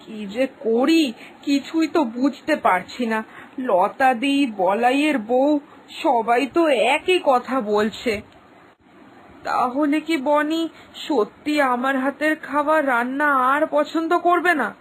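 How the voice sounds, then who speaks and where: A woman's voice: sits high, low-quality recording. A woman voicing an anxious middle-aged village mother, fretting and pleading over her daughter's homecoming in a cartoon story. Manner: fretful, pleading, slows down when worried. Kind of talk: cartoon voice acting.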